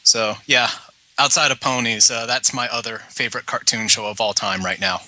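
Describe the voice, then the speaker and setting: A man's voice: medium pitch, fairly even intonation, moderate pace, sharp tone, low-quality recording. A man chatting as a guest on a casual podcast, an enthusiastic fan who jokes as he goes.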